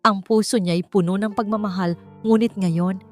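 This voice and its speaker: A woman's voice: mid-range voice, fairly even intonation, moderate pace. A woman reading a scripted, dramatic romance story as its narrator. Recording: faint music behind. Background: faint music.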